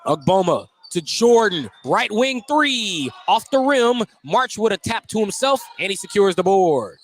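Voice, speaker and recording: High-voiced man calling live basketball. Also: big pitch swings, measured pace. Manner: jokey, often chuckling. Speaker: play-by-play announcer. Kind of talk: live basketball radio commentary.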